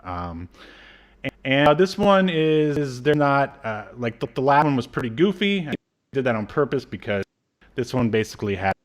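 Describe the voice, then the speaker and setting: A man in his thirties hosting a casual review chat, speaking in a halting, hesitant way. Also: medium pitch, very animated. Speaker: podcast host. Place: small room at home, video call.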